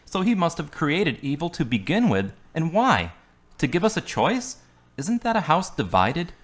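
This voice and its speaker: A man's voice: a little high, very animated, normal speed. A man acting a wounded young man in a hospital bed, curt and argumentative, coughing between lines. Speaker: lead actor. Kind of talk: amateur radio drama acting.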